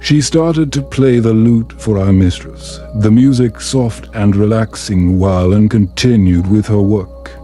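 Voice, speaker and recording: A man reading a bedtime story as calm, scripted ASMR narration in a measured, soothing and gentle manner. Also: on the low side, faint music behind.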